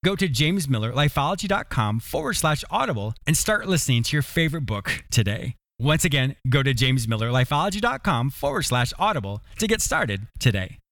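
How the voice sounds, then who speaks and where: A man's voice: mid-range voice, sing-song, fairly quick, hardly any pauses, fairly bright tone, no room sound, clean studio sound. A man hosting an interview show, moving between scripted introductions and conversational questions. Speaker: podcast host and interviewer.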